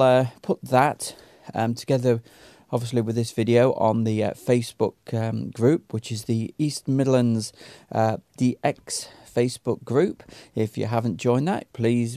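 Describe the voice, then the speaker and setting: A man giving a casual, unscripted recap to viewers, halting with very frequent ums and pauses. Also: mid-range voice, warm tone, no echo. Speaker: radio net organiser and channel host.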